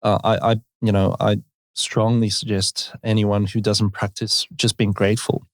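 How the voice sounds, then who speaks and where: A man's voice: lowish voice, level tone, normal speed, no room sound. Male interview host, casual and encouraging, dry close sound. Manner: encouraging, frequent short affirmations. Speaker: podcast host.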